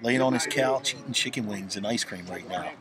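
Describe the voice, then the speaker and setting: A man doing color commentary for softball, joking and chatting casually. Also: medium pitch, brisk. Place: outdoor softball field. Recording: very loud crowd behind.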